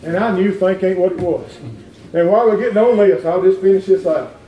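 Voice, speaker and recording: A middle-aged man preaching a folksy revival sermon in a church, climbing into shouts. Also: sits high, a little fast, recorded from a distance, highs cut off, a little hiss or hum. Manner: folksy, plain-spoken, rises to shouting. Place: wood-paneled church sanctuary. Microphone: far room camera. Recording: echoey church heard from afar.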